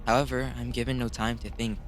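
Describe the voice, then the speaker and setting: A man's voice: mid-range voice, flat delivery, fast, a little hiss or hum. A man hosting a gaming video, reading a visual novel aloud with casual commentary and correcting himself when he stumbles. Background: music.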